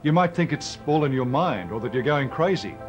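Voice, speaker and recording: An Australian man presenting an educational film in a measured, explanatory, scripted style. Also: mid-range voice, expressive, music in the background.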